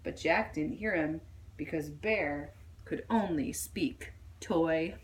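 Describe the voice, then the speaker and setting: A woman reading a children's story aloud in an expressive style. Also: low voice, takes it slowly, slight background noise, low-quality recording. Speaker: storybook reader.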